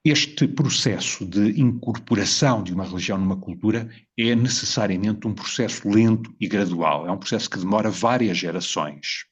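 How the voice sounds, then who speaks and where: A Portuguese man with a low, husky voice speaks in a measured, reflective way, weighing each idea and putting rhetorical questions to his audience. The recording is dull and somewhat lo-fi. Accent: European Portuguese. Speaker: video presenter. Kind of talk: reflective spoken essay.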